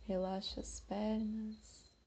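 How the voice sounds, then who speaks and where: A Brazilian woman guiding a meditation in a soothing, unhurried manner, with long pauses between short phrases. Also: medium pitch, little pitch movement, dark tone, slightly rough. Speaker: meditation guide. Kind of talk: slow guided meditation.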